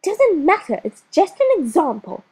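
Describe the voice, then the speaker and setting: A woman's voice: extremely high pitch, big pitch swings, moderate pace, darkish tone, voice pushed up, heavily acted, poor audio. Woman voicing a firm, fraying schoolteacher. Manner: firm, clipped instructions. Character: strained, patient-but-fraying female schoolteacher. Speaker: teacher.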